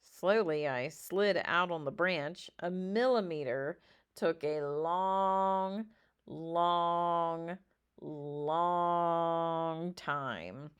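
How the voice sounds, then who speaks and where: A woman reading a children's story aloud expressively, with dramatic drawn-out emphasis. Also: fairly low pitch, clean tone. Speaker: storybook reader.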